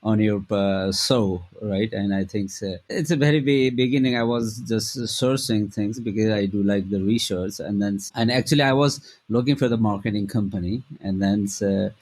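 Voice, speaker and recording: Man, Nepali accent, middle-aged, low pitch, halting with fillers, interview guest. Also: steady tone, a little mellow. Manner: halting, many fillers and restarts. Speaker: guest, travel company founder. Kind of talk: casual interview answers.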